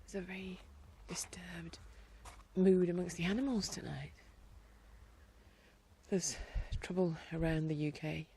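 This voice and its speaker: A woman host chatting casually outdoors in her garden, rambling along with a blocked-up nose and dropping quieter at times. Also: fairly low pitch, fairly even intonation, unhurried, gravelly, pauses often, husky. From England. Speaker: podcast host. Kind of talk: casual chat.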